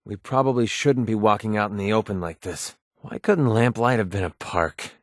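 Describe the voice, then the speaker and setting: A man's voice: on the low side, normal speed, dry recording, close to an everyday voice. Sardonic young mercenary played by a man, dry studio sound. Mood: dry, watchful. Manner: sardonic, grumbling, offhand. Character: cynical, wisecracking young mercenary sniper in a wasteland. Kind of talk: video game companion dialogue.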